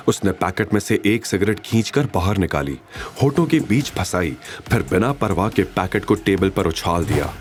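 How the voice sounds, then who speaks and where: A man with a fairly low voice narrates a dramatic audio story. His delivery is scripted storytelling. Soft music sits behind him.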